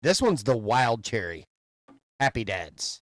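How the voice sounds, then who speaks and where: Animated American man telling stories. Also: mid-range voice, slow, no echo. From Kansas, United States. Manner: animated, profane, acts out quoted dialogue. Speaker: podcast co-host. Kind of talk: casual chat and storytelling.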